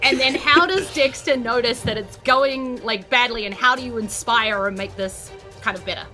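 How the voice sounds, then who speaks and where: A woman's voice: on the high side, normal speed, pushed and bright. A woman narrating a tabletop role-playing game as game master, improvising conversationally. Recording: music behind the voice. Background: background music.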